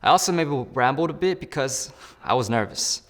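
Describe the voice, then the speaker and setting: A man's voice: medium pitch, expressive, moderate pace, a bit bright, in a large hall. A man role-playing a job candidate in a phone interview and explaining each step to the viewer in an upbeat way. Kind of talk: scripted role-play with asides to the viewer.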